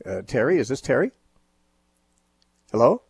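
A man hosting a radio call-in show, teaching on the Bible in a measured, thoughtful way with frequent fillers and restarts. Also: medium pitch, very animated, vocal fry, darkish tone, slightly rough, dry recording.

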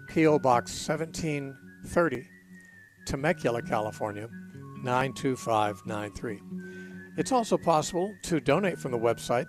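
A hoarse man hosting a live call-in Bible radio show, speaking with frequent fillers and restarts. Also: medium pitch. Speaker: radio talk show host.

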